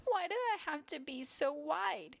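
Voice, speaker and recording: Woman as a high-pitched, tearful little girl bear. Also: fairly even intonation, slow, creaky, no echo, heavily acted. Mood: self-pitying sorrow. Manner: plaintive, crying, childlike. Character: sad, hurt young girl bear, tearful.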